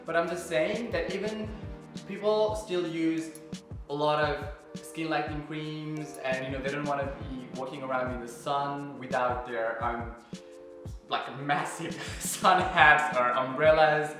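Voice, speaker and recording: A man's voice: fairly high pitch, fairly even intonation, a little slow. A young man from Nepal vlogging casually in his apartment, candid and chatty with lots of fillers. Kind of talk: casual vlog talk to camera. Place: apartment kitchen. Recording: very echoey room with music.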